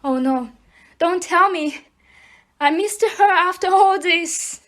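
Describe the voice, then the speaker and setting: A woman's voice: extremely high pitch, takes it slowly, fairly bright tone, exaggerated voice. An actress playing a polite, shaken young woman who is a victim in an audio play. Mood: dismayed, weary.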